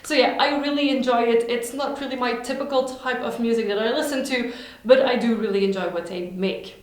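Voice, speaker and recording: Woman, native Dutch speaker, slightly high voice, upbeat, heavy room echo. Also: a little fast. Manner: upbeat, chatty, enthusiastic. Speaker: vlogger. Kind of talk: casual recommendations vlog. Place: home room. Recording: very echoey room.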